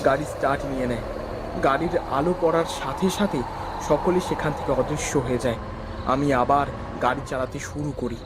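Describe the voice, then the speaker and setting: A man's voice: medium pitch, fairly even intonation, a little fast, heavy background noise, lightly acted. A man playing a wary, curious young hero who also narrates a horror cartoon dramatically. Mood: suspenseful.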